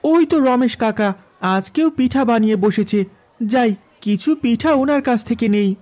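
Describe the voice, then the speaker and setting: A man's voice: very high voice, moderate pace, darkish tone. A man voicing an earnest poor village boy who hawks goods in a Bengali cartoon story. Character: Raju, earnest poor village boy selling rice cakes. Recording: music behind the voice.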